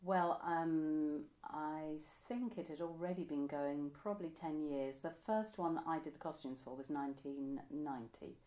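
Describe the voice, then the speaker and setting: A woman's voice: low-pitched, normal speed, hardly any pauses, warm tone. A woman, reminiscing conversationally as an interviewee. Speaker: interviewee, pantomime costume maker. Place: indoors, room by a window.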